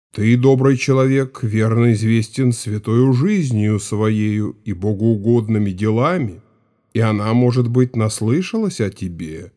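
An actor playing a grieving, stern old Cossack chief in an audio drama, speaking with solemn, mournful authority. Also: male voice, on the low side, dark tone. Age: older.

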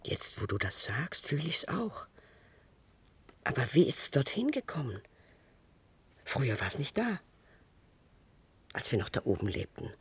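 An actor in a radio drama playing a forgetful, confined character who speaks in a dreamy, halting way. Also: mid-range voice, huge pitch swings, breathy edge, exaggerated voice. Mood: hushed, uneasy.

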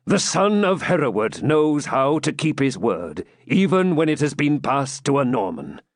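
Male narrator as stern old Saxon nobleman, storybook, archaic declamation, raised pitch, sweeping intonation, dry sound. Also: big pitch swings, a little slow, no room sound. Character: stern, proud, emotional old Saxon lord and father.

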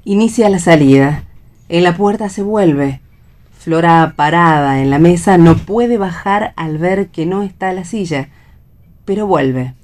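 A woman's voice: fairly low pitch, measured pace, darkish tone. A woman with an Argentine accent reading the stage directions of a play as scripted narration.

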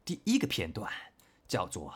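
A man's voice: deep voice, wildly swooping pitch, moderate pace, slightly rough. A man who works as a voice actor, hosting a casual livestream in a playful, joking manner. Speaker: voice actor, guest and host.

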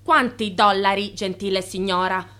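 Bright-voiced deferential assistant. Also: female voice, medium pitch, steady tone, measured pace. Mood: polite. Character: deferential office assistant, polite, flustered under pressure. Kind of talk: radio drama acting.

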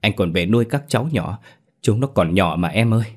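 A man's voice: on the low side, normal speed, husky. A man doing a trembling guilty husband. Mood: accusing. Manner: trembling, pleading. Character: cowardly guilty husband begging for mercy.

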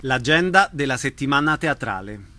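A man's voice: medium pitch, expressive, normal speed, bright, forceful tone, no echo, faint music behind. A man presenting a radio programme, announcing items and interviewing a guest. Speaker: radio host.

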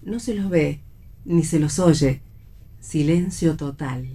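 A woman's voice: deep voice, takes it slowly, warm tone. A woman reading stage directions as scripted narration for radio theatre.

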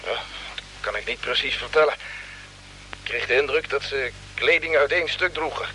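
A man's voice: medium pitch, normal speed, bright, forceful tone. A man acting an earnest, dutiful space freighter crewman in mid-century radio drama, deferential toward his superiors. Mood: unsure, deferential. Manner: deferential, earnest, hesitant when questioned. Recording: humming, noisy old radio drama recording.

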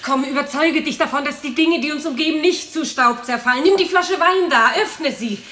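An actress playing an anxious, devoted wife in a 1960s radio drama, earnest and clearly spoken toward her troubled husband. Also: fairly high pitch, normal speed, darkish tone, close to an everyday voice. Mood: urgent, pleading. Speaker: actor in a radio play. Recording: echoey old radio recording with noise.

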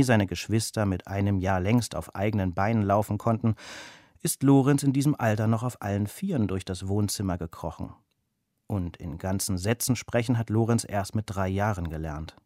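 A man's voice: on the low side, dark tone, dry recording, studio quality. A man narrating a children's story in a scripted storybook style.

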